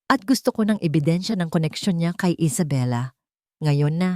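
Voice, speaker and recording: A woman voicing a determined wealthy man who speaks with steady authority. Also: low voice, moderate pace, dry recording, clean studio sound. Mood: commanding.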